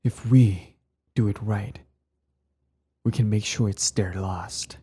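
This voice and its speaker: A brooding ex-hero villain, acted by a man, speaks in a low, dark, husky voice. He is bitter yet devoted, measured and intense, with dramatic pauses suited to an intimate ASMR roleplay. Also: heavily acted. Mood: earnest, persuasive. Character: cold former-hero villain, earnest, bitter, devoted to partner.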